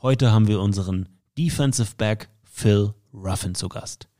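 A man hosting a sports podcast, upbeat and joking as he interviews a guest, tossing in quick asides. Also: lowish voice, mellow. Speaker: podcast host.

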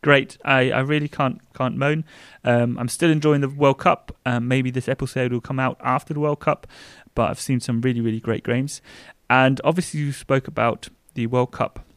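A man's voice: medium pitch, fairly even intonation, normal speed, dry recording, clean studio sound. A man in his thirties with a German accent, chatting casually as a podcast co-host with a lot of fillers. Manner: chatty, rambling with frequent fillers.

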